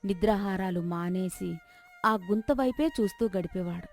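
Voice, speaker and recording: A woman's voice: mid-range voice, normal speed, faint music behind. A woman narrating a scripted folk story.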